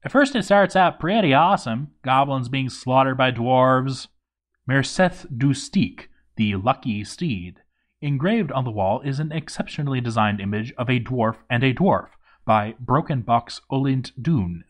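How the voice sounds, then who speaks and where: A male narrator with a medium-pitched voice reads a game story aloud. His delivery is theatrical, leaning on emphatic pauses and dramatic swings as he tells the tale. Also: lively intonation.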